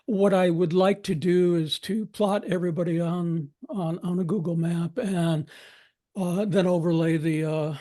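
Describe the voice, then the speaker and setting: A man giving an informal update on an online meeting in a halting, hesitant way. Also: sits high, steady tone, mellow. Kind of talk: informal spoken report. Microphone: teleconference connection.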